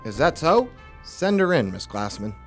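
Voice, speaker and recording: A man's voice: on the high side, sing-song, normal speed. Man acting a brooding 1940s private eye, roomy sound. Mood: wry, guarded. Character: hard-boiled, world-weary 1940s private investigator. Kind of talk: noir radio drama acting and narration. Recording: roomy, distant microphone, music underneath.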